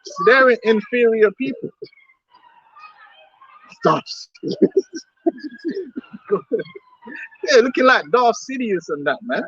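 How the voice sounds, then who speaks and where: A man's voice: extremely high pitch, animated, slow, long pauses, sharp tone, breathy edge, no echo, highs cut off. A man preaching outdoors on the street, emphatic and repetitive, often laughing between his points.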